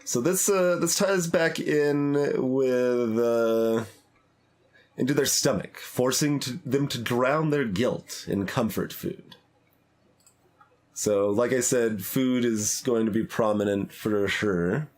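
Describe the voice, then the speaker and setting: Slow, hesitant man commenting on a game. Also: medium pitch, a little mellow. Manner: hesitant, thinking aloud. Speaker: streamer playing a visual novel. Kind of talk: live playthrough commentary, reading game text aloud.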